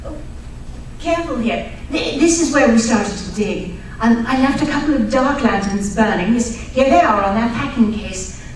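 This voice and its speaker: Heard from far off in a very echoey hall, a woman plays a genteel lady archaeologist in a radio play. She is eager, polite and earnest, with a fairly high voice over faint noise. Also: normal speed, lightly acted. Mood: explanatory. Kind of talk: old-time radio drama acting on stage.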